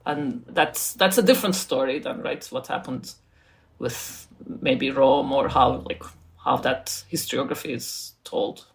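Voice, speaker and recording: A woman's voice: extremely low pitch, unhurried. A woman from Turkey, an author and scholar, talking casually as an interview guest, thoughtful, laughing often and restarting her sentences.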